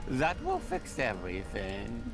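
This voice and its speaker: A flamboyant, adventurous merchant of gems in a fantasy game. His voice leaps and dips wildly as he chats, genial and theatrical, with music clearly playing behind him. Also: on the low side, wildly swooping pitch, measured pace, full character voice. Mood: mock complaining. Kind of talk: video game character dialogue.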